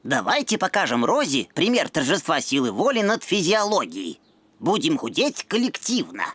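Husky, swooping brainy cartoon boy. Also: low voice, big pitch swings, a bit bright, no echo, full character voice. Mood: matter-of-fact. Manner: bookish, deliberate. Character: brainy, pedantic young cartoon dog boy.

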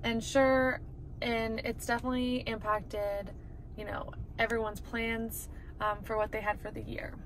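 A woman's voice: on the high side, little pitch movement, measured pace. A young American woman from Los Angeles chatting casually to a camera inside a car. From Los Angeles, USA. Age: young adult. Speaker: vlogger. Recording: clearly noisy car interior recording.

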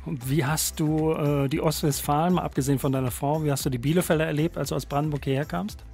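A male radio host with a somewhat high voice steers the conversation, asking questions and trailing what comes next. He has a practiced announcer's delivery. Faint music plays under him. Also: fairly even intonation, fast talker, a little mellow. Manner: smooth announcer, leads the talk with questions and teasers. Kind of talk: radio show hosting.

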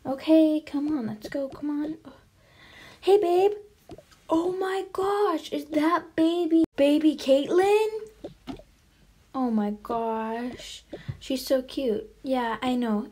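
A woman playing an excited new mother in an improvised toy role-play story. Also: high-pitched, slow pace, long pauses, slight background noise.

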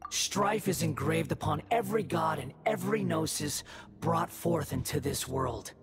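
Haughty, theatrical villain god. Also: male voice, a little high, expressive, normal speed. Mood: haughty, exultant. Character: arrogant male self-made god in a giant mech. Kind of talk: video game villain acting. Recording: dramatic game music behind.

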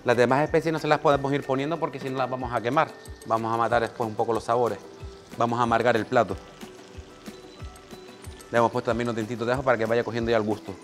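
Canarian chef teaching a cooking class. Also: male voice, medium pitch, level tone, fast talker, pauses often, soft background music. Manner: practical, directs an assistant at his side. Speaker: chef giving a cooking demonstration. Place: small bright teaching kitchen, indoors.

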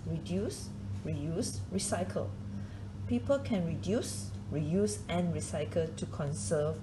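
A woman reading a children's nonfiction book aloud, deliberately and phrase by phrase. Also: on the low side, lively intonation, over music, poor audio.

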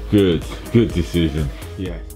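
A man's voice: sits low, a little slow, music in the background. A man bantering casually as he puts questions from behind the camera.

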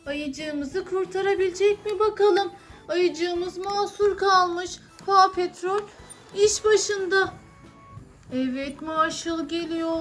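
A woman's voice: extremely high pitch, fairly bright tone. A mother chatting playfully as she narrates toy play, often asking questions to prompt her young son. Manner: asks questions to prompt a child.

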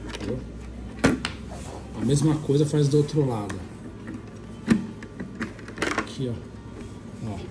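A man's voice: medium pitch, takes it slowly, pauses often. A Brazilian man giving an informal hands-on tutorial in short phrases with frequent little check-in tags. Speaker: motovlogger demonstrating a how-to. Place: indoors, tiled kitchen. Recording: noisy phone recording with handling rustle.

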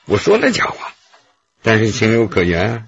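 Slippery, husky gallery owner. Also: male voice, lowish voice, wildly swooping pitch, moderate pace, lo-fi sound, heavily acted. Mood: pleading innocence. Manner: wordy, wheedling, self-justifying. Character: slippery, glib male art gallery owner under questioning. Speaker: actor in a police radio drama. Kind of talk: Chinese radio drama acting.

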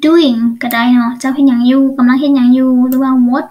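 A woman's voice: high voice, steady tone, brisk, mellow. A woman with a Lao accent teaching English in an online lesson, explaining step by step and often asking check questions.

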